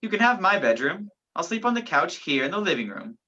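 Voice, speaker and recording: A young woman playing a sceptical, gallant gentleman in an amateur radio play, with a theatrical, incredulous manner. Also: fairly low pitch, sing-song, brisk, exaggerated voice. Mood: reassuring. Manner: incredulous, theatrical, old-fashioned phrasing. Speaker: student actor in a school radio play. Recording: dull lo-fi home recording.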